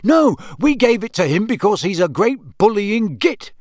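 Storybook teen twin, man voicing, eager, lifted pitch. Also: big pitch swings, unhurried, darkish tone, no echo, studio quality. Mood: gleeful. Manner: eager, gleeful. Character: eager mischievous teenage wizard twin boy.

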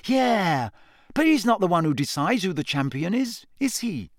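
Guy doing a cheeky teen schoolboy, eager schemer, bouncy higher voice, storybook. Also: very animated, moderate pace, no room sound, clean studio sound. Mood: shrewd. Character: cocky, mischievous teenage schoolboy twin.

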